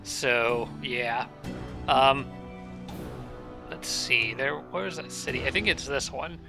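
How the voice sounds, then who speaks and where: A man casually commentating a strategy game, thinking aloud and correcting himself, his voice a little raspy and nasal from allergies. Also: mid-range voice, takes it slowly, over music. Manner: thinking aloud, rambling with restarts.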